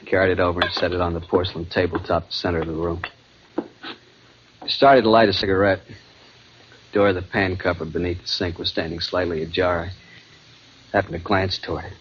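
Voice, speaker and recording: Man acting guilt-ridden adventurer, low pitch, suspenseful radio thriller delivery, dull vintage recording. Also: a little hiss or hum, lightly acted. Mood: quiet tension. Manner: dramatic, suspenseful, deliberate delivery. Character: haunted, guilt-ridden young adventurer narrating his terror at sea. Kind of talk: 1940s-50s radio drama narration and acting.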